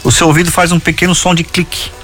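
A man's voice: a little high, little pitch movement, quick pace, fairly bright tone, faint music behind. A middle-aged man hosting a casual chat show, teasing his guests and reading out odd trivia. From southern Brazil. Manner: jokey, teasing, reads trivia aloud. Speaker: host.

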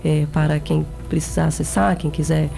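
A woman with a São Paulo accent answers interview questions in a measured, earnest way. Her voice is low and mellow. Soft music sits faintly behind her. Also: level tone, vocal fry. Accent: São Paulo Portuguese. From São Paulo, Brazil. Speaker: guest, education campaign member.

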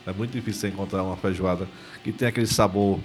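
Low-voiced co-host. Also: male voice, fairly even intonation, racing, soft background music. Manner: easygoing and nostalgic, with frequent agreeing "né". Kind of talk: casual chat.

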